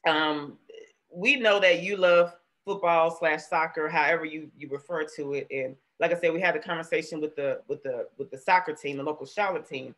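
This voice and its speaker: A woman in her thirties hosting a casual interview over a video call, chatty and quick to laugh. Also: low-pitched, fairly bright tone. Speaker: host. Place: room at home. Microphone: webcam on video call.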